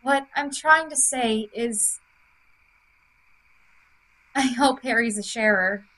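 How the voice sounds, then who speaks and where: A woman plays a devoted young best friend in an audio drama. She speaks high and slowly, sentimental in tone, with music behind her. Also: sharp tone, poor audio. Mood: heartfelt. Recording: music under the voice.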